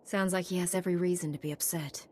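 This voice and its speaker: An actress playing a composed, dutiful female knight in a video game, measured and matter-of-fact, with faint background noise. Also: fairly low pitch, steady tone, darkish tone, lightly acted. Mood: composed, resolute.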